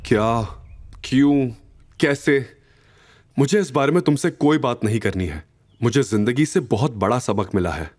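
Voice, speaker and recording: A man's voice: mid-range voice, expressive, measured pace, darkish tone. A man playing a wounded, proud young husband in an emotional, emphatic audio drama style. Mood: cold, resolute. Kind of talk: Hindi audio drama acting.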